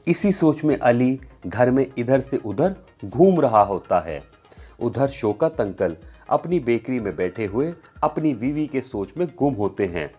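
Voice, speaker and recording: A man's voice: fairly low pitch, mellow, husky. A man narrating a story for children in a storyteller's style. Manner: acts out the characters' dialogue.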